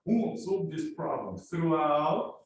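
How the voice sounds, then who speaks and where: A man's voice: on the high side, big pitch swings, slow pace. A man with a Korean accent, lecturing as a business professor and pressing students with rhetorical questions. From South Korea. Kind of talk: classroom lecture. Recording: echoey classroom from far off.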